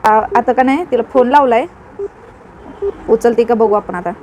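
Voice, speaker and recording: A woman vlogger speaks in a high voice with a rural western Maharashtrian accent. She talks casually and informally, as if chatting to her followers. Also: moderate pace, darkish tone, a little hiss or hum, rough recording. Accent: rural western Maharashtrian Marathi. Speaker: vlogger making a prank call. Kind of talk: casual vlog chat.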